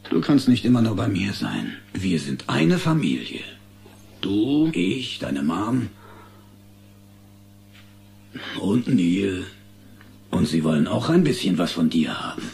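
A man's voice: low voice, sing-song, unhurried, vocal fry, darkish tone, full character voice. A man playing a loving, earnest father who has become Santa Claus in a dubbed family drama. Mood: earnest, hesitant. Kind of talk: film dub acting in an audio play.

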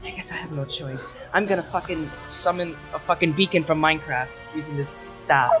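A man's voice: a little high, moderate pace, close to an everyday voice. A man voicing an exasperated, foul-mouthed young female wizard, rambling through an improvised comedy fandub. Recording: voice over background music.

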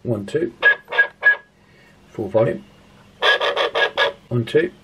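A man's voice: medium pitch, lively intonation, drawn-out words. A man giving a casual demonstration in short test phrases. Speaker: maker testing a radio. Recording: very noisy, with clicks.

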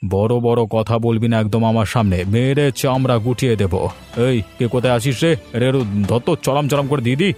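A man's voice: medium pitch, a little fast, a little mellow, close to an everyday voice. A man voicing a haughty, miserly rich village bully in a cartoon, contemptuous and threatening, with music behind. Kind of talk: cartoon voice acting.

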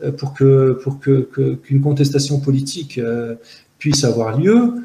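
A man's voice: medium pitch, a little slow, warm tone, a little hiss or hum. Hesitant, earnest man with echoey sound. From Algeria. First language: Arabic. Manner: hesitant, many fillers and restarts, earnest and analytical. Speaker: interview guest. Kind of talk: reflective testimony in an interview. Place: outdoors in a park-like garden by buildings.

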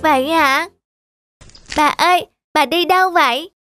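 Little cartoon girl: high voice, innocent, polite, light music behind. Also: a little slow, sharp tone, no room sound, studio quality. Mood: friendly, curious. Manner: polite, earnest. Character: innocent, kind, curious little schoolgirl. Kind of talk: dubbed cartoon dialogue.

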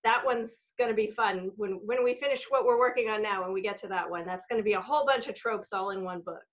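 A woman's voice: medium pitch, quick pace. A woman speaking as an author on an online panel, chatty and upbeat. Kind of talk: casual panel chat. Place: bright home room, video call. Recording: dull video-call audio.

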